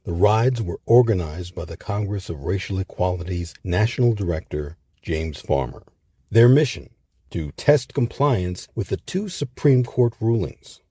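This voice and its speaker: A man reading scripted documentary narration in a measured, articulate way with emphatic phrasing. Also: low-pitched, animated, dark tone, husky, no echo, studio quality. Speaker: narrator of a history program.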